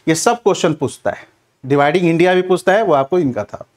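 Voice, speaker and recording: Exam coach: male, slightly high-pitched, instructive lecture delivery, posing questions and answering them, with frequent reminders. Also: fairly quick, darkish tone. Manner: instructive, repeats check phrases like a classroom teacher.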